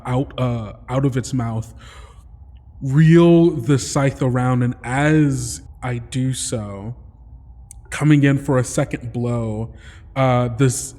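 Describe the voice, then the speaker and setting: Slow-talking man playing a tabletop game, reverberant. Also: mid-range voice, mellow. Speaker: player in a tabletop roleplaying game. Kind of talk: describing game actions in character narration.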